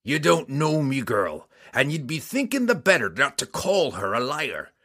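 Guy doing a gruff old dwarf dad, loud and slow, blustery, bit husky. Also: medium pitch, big pitch swings, voice pushed up, full character voice. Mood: stern. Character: gruff old male dwarf, proud and blunt.

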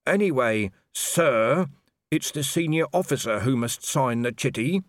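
A man's voice: medium pitch, lively intonation, a little slow, dry recording, studio quality, pitched above the performer's own voice, close to an everyday voice. A man voicing a polite but persistent young constable who keeps saying sir. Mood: insistent. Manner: dogged, formally polite, ending lines with "sir". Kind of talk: audiobook character voice.

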